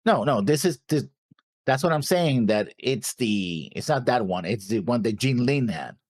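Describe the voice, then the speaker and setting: A man co-hosting a reaction show, chatting casually in a husky voice. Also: mid-range voice, animated, normal speed, a little mellow, no echo, studio quality.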